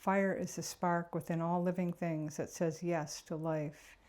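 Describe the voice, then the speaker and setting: Low, mellow woman guiding a meditation. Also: level tone. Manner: gentle, unhurried, reflective delivery. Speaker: meditation guide. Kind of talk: guided meditation narration.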